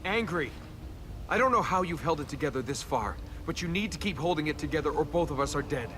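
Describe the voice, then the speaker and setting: An actor playing a sarcastic, roguish young adventurer and reluctant hero in a video game, with a wry, flippant, casual delivery. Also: male voice, on the high side, animated, fast, natural voice. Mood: firm, urging. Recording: noisy game capture, loud effects behind.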